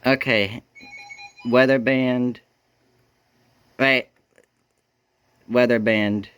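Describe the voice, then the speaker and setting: A man with a bright, forward voice and medium pitch talks off the cuff as an amateur video host. His manner is informal, muttering with some exasperation as he works. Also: steady tone, extremely slow, clean tone. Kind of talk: casual unscripted chat.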